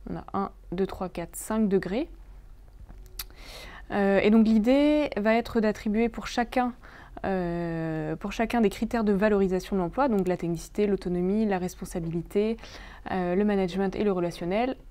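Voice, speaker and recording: Halting young Frenchwoman with a mellow voice. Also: mid-range voice. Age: young adult. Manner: hesitant, halting delivery with frequent pauses. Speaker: presenter explaining labour agreement changes. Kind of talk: webinar slide walkthrough, semi-improvised explanation.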